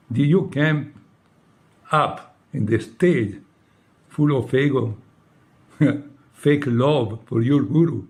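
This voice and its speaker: Man, Italian accent, non-native English. Halting, reproachful direct address. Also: medium pitch, darkish tone. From Italy. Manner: reproachful, pleading, halting English with many rhetorical "no?" tags. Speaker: former devotee addressing a leader. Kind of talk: direct personal appeal to camera.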